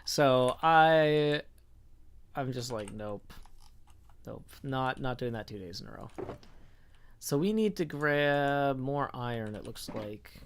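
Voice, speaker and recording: A man's voice: medium pitch, expressive, slow pace, long pauses, smooth. A man live-streaming a game, chatting in a rambling, casual way and answering his viewers as he plays. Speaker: livestreamer. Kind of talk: casual live-stream chat while playing.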